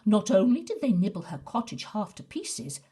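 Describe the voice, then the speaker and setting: A woman storyteller narrating a children's bedtime story expressively and dramatically, sometimes softening toward a breathy near-whisper. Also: mid-range voice, very animated, normal speed.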